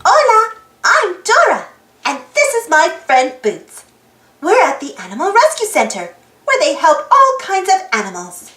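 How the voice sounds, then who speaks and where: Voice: very high-pitched, wildly swooping pitch, unhurried, sharp tone, roomy sound, soft background music. A storybook reader with an exclaiming manner, reading aloud for children and prompting young listeners with questions. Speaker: storybook reader and channel host.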